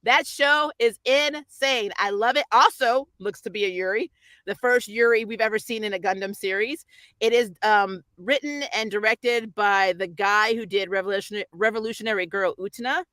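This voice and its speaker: Female review host, bright forward voice, dry sound, informal and opinionated, rambling casual chat. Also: mid-range voice, normal speed, no echo. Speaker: host of a pop culture review show.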